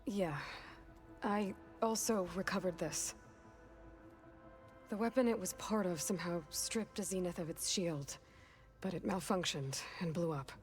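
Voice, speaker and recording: A determined young warrior woman played by an actress speaks in a measured, guarded way, natural as everyday talk. It is game cutscene dialogue with clear music playing underneath. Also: lowish voice, natural voice. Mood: matter-of-fact. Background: music behind the voice.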